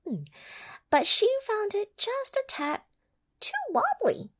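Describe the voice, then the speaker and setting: A woman reading a picture book aloud to children, pausing to ask them questions and sometimes dropping to a whisper. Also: very high voice, dry recording, studio quality. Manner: animated, asks the listening children questions; drops into whispers for effect. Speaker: children's story reader. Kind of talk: read-aloud storytime for children.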